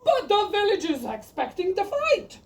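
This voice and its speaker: A woman's voice: very high-pitched, big pitch swings, moderate pace, far from the mic, exaggerated voice. A woman playing a hesitant knight and dragon slayer in an amateur audio play, with a theatrical delivery. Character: Saint George, hesitant young male knight and dragon slayer.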